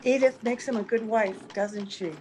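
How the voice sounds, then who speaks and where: A woman playing a wistful, gentle, secretly sad young-looking woman in an old-time radio drama. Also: on the high side, moderate pace, natural voice. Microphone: video call. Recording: faintly noisy video-call recording.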